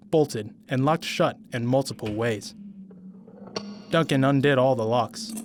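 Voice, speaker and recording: A young man reading a first-person audiobook story in a slow, measured, brooding way. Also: medium pitch. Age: young adult. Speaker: narrator.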